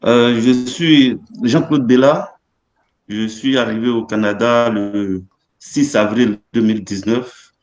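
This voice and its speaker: A man's voice: mid-range voice, fairly even intonation, takes it slowly, roomy sound, lo-fi sound. A man from Côte d'Ivoire, a baptism candidate, speaking hesitantly and repeating words as he explains himself. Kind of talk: personal testimony.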